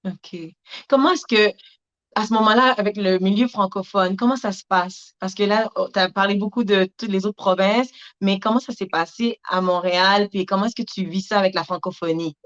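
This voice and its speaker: Female host, encouraging interviewer, middle pitch, muffled recording. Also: fairly even intonation, moderate pace, fairly bright tone. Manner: encouraging, frequent affirmations. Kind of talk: casual interview. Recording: dull lo-fi audio.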